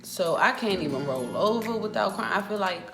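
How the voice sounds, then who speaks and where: A young American woman vlogs in a casual storytelling style, with a mid-pitched voice. She is sassy and emphatic, driving her points home with animated delivery. The room adds noticeable echo, and music plays underneath. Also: moderate pace. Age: 20s. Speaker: vlogger. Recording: roomy echo with music behind.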